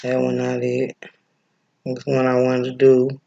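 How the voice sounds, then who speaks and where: A man with a Midwestern accent talks through breathing techniques in a loose, chatty way. His voice sits at a middle pitch with a gravelly edge. He drifts off in thought and fills his pauses with "um" and "uh". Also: completely flat delivery, dark tone, lo-fi sound. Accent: Midwestern American. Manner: laid-back and chatty, stops often with fillers to search for a name. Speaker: breathwork and yoga teacher. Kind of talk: casual spiritual teaching, rambling.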